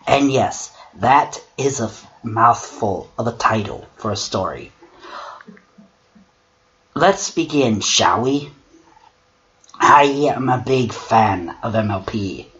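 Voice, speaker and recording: A wry male god of struggle, played by a man, holds forth with a slow, theatrical delivery. He has a middle-pitched voice and treats horror storytelling with deliberate drama and sarcasm. The recording is dull and lo-fi. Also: natural voice. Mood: grandly chatty. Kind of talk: dramatic creepypasta reading with mocking commentary. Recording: dull lo-fi mic with clear noise.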